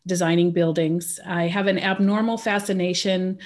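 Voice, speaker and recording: A woman's voice: on the low side, steady tone, moderate pace. A woman from California with an American accent, a guest in a casual interview, talking about pricing in a chatty way and often breaking into laughter.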